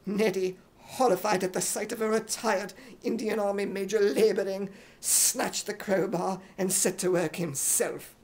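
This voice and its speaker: A man's voice: high voice, steady tone, a little slow. A man from the United States narrating a scripted radio comedy in an imitation British accent. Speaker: solo performer narrating a script.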